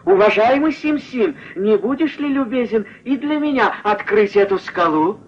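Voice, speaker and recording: An actor playing an eager, earnest, respectful poor woodcutter in a puppet-film fairy tale. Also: male voice, high voice, lively intonation, normal speed, husky. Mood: earnest, hopeful. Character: eager, polite poor young woodcutter, male. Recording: dull old film soundtrack.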